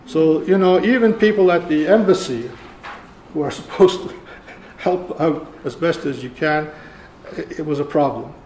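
An older man, around seventy, giving a conference lecture in a halting, deliberate way. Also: fairly high pitch, darkish tone. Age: older, about 70. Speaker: keynote speaker, archivist. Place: lecture room, indoors. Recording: roomy echo, faint room noise.

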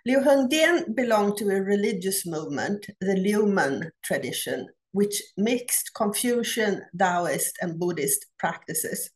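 A middle-aged woman from Sweden with a Swedish accent, giving a prepared academic conference talk over a video call, measured and deliberate with frequent pauses. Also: mid-range voice, animated. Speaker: academic researcher presenting a paper. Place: home office. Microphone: laptop webcam on a video call.

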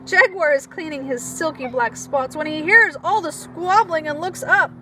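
A woman preschool teacher reading a picture book aloud to young children in an animated, expressive way, with chatty asides. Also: high voice, normal speed, a little hiss or hum, low-quality recording.

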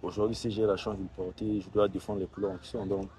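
A young man, a footballer giving quiet, casual interview answers and repeating short phrases. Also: low-pitched, flat delivery, fast. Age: young adult. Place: outdoors by a hotel pool.

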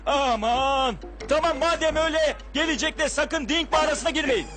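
A small, hungry cartoon bug in an extremely high voice. The little fellow is whiny and fretful, hesitating and exclaiming, over clear background music. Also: male voice, moderate pace. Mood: anxious, exasperated. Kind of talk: children's cartoon dubbing. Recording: music behind the voice.

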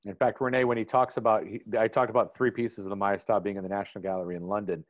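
A man giving a relaxed online art history lecture, loose and conversational, with frequent "you know" fillers. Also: lowish voice, fast talker, no echo. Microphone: video call. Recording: dull lo-fi video call audio.

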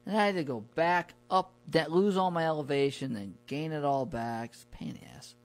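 A man talking casually and colloquially, telling stories in a relaxed conversational podcast style. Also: on the high side, fairly quick, faint music behind. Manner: informal, colloquial. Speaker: podcast guest recounting his camping trips.

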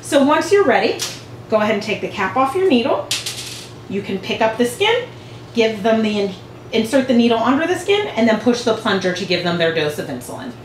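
A woman's voice: fairly high pitch, sing-song, brisk. A woman in her forties, a veterinarian, patiently explaining a procedure step by step in a clinic exam room, recorded from a camera across the room. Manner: clear, patient explaining with hand gestures. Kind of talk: instructional demonstration. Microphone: far camera. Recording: echoey clinic room with faint hum.

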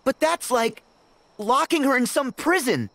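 Earnest, animated teenage boy hero. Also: very high voice, a little slow, vocal fry, dry recording, exaggerated voice. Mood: puzzled, challenging. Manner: urgent, bold, plain-spoken. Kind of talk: scripted video game cutscene acting.

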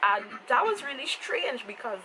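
A woman's voice: sits high, big pitch swings, normal speed, pushed and bright. A young woman in her twenties with a Trinidadian accent, giving a casual makeup review to camera. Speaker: beauty vlogger. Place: small room indoors. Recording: clearly noisy home camera recording. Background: clear ambient noise.

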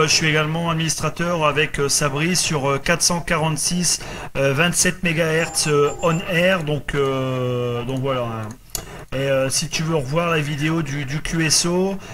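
Male radio ham, high forceful voice, casual, repetitive delivery, faint noise. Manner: repeats himself, tag words. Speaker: amateur radio operator running a group contact.

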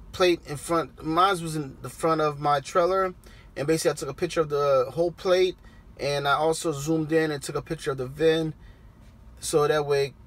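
A man in his thirties, a trucker vlogger, casually explaining a process to his viewers from the cab of his parked truck. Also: on the high side. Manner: relaxed, conversational, addresses viewers directly. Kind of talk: casual how-to vlog.

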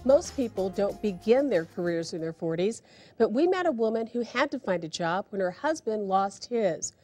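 A woman giving smooth, polished scripted documentary narration. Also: medium pitch, expressive, normal speed, a little mellow, soft background music.

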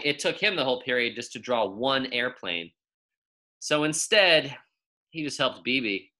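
A young man reading a children's story aloud as a teacher, with expressive, emphatic delivery and drawn-out key words. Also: mid-range voice. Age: young adult.

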